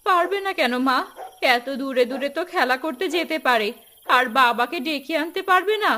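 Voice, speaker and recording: High-pitched, fretful village mother, acted by a woman. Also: animated, normal speed, bright, forceful tone. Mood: worried, insistent. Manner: fretful, insistent. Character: worried, nagging young village mother and wife. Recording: music under the voice.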